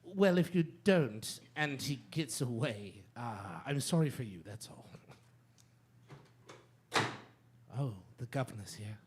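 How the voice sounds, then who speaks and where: An actor playing a conspiratorial criminal lieutenant in a radio drama, brisk, businesslike and dutiful. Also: male voice, medium pitch, expressive, a little hiss or hum, lightly acted. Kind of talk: theatrical radio drama acting.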